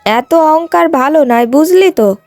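A practical, middle-aged cartoon mother with a high female voice, played by a woman narrator. She talks plainly and frankly, recorded dry with faint music underneath. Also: expressive, measured pace, darkish tone, no echo. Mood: scolding.

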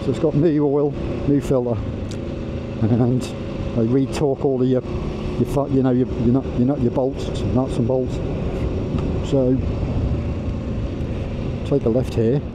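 Chatty rider rambling over wind noise. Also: male voice, medium pitch, steady tone, a little slow, long pauses. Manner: rambling, self-interrupting, chatty. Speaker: motovlogger. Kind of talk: casual riding commentary. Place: on a motorbike on country roads. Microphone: wireless mic inside helmet. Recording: windy helmet mic on a moving motorbike. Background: wind and engine noise.

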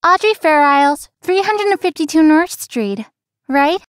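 A woman playing a feisty young florist in a visual novel, earnest and expressive. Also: high-pitched, a little slow, no echo. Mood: courteous. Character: feisty young female florist, polite but privately resentful.

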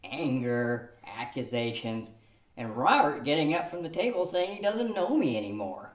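A man's voice: medium pitch, very animated, moderate pace, natural voice. An older man playing Elmer, an earnest, rueful husband, in an amateur radio play, reading plainly and wistfully into a stand mic. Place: small studio with a draped backdrop. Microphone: stand mic at chest height. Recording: echoey room.

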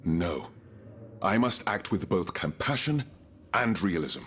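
A man's voice: low voice, sing-song, slow pace, a bit bright, slight background noise, exaggerated voice. A man playing a proud, earnest, wealthy colonial reformer in a period game drama, speaking formally and eloquently, with gravity. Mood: indignant, proud.

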